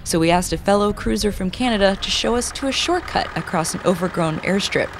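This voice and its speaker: A documentary narrator delivers a scripted travelogue. The voice sits at a middle pitch, and outdoor vehicle noise runs clearly behind it. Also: brisk.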